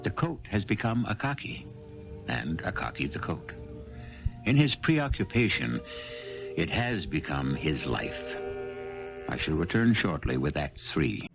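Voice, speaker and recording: A man performing as a grave, ominous storyteller host, narrating a radio drama dramatically in a gravelly voice. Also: a bass voice, very animated, a little slow, pauses often, music in the background, heavily acted. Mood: grave, foreboding. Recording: dull, lo-fi 1970s radio recording.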